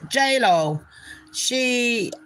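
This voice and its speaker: A woman's voice: a little high, animated, extremely slow, fairly bright tone, rough recording. Nasal woman chatting to viewers. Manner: chatty, rambling, calls viewers people and darlings. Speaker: gossip livestream host. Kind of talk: casual live chat to viewers.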